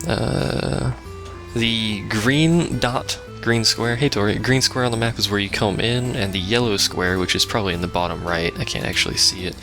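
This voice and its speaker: A man's voice: lowish voice, normal speed, gravelly. A man giving casual, rambling live game commentary as a let's play host, with wry asides. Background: video game music.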